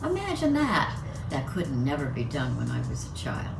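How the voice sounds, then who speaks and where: A woman's voice: deep voice, wildly swooping pitch, normal speed, vocal fry, roomy sound, distant mic, music in the background, low-quality recording. An older woman from the United States, giving a chatty, personal lecture at a symposium and laughing between her points. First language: English. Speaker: conference speaker. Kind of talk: lecture talk.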